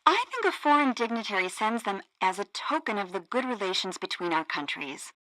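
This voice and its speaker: A woman's voice: mid-range voice, big pitch swings, fairly quick, sharp tone, no room sound, poor audio. An actress playing a breezy, perky female hippie radio co-host with theatrical DJ patter in a satirical video game. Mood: wry, light.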